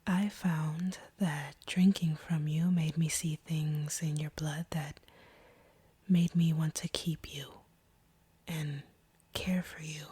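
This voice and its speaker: A woman playing a guarded, wounded vampire in an intimate audio roleplay, speaking softly and haltingly in a breathy near-whisper. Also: low voice, flat delivery, heavily acted. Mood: earnest, tender. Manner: slow, hesitant, halting delivery. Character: guarded, wounded female vampire confessing feelings.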